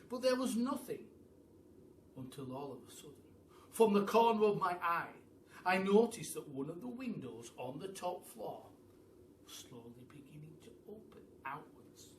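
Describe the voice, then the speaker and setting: A man reading a children's story aloud as a teacher, theatrically, with dramatic pauses and emphasis. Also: fairly high pitch. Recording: roomy, distant mic with faint background noise.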